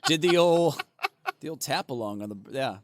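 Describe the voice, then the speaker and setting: A man's voice: on the high side, animated, moderate pace, heavy background noise. A man co-hosting a comedy podcast, riffing in casual banter and laughing often. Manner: joking, laughs often, goofy riffs. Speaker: podcast co-host.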